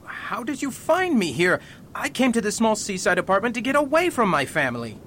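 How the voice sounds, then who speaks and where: A man playing a wealthy, cornered gentleman in an amateur radio drama, sounding anxious and pleading. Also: high-pitched, very animated, fairly quick, slight background noise.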